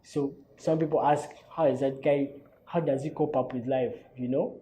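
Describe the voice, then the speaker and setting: A man's voice: medium pitch, fairly even intonation, moderate pace, dark tone, a little hiss or hum, poor audio. A young Ugandan man hosting his own talk show in a loose, chatty monologue, often repeating words and tagging lines with "you know" and "man". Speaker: host. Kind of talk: casual monologue.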